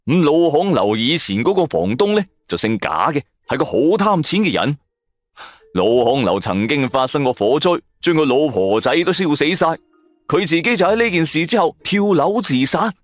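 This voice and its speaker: A man's voice: a little high, sing-song, normal speed, no room sound. A man with a Cantonese accent narrating a supernatural audio drama in the first person, colloquial and dramatic. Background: background music.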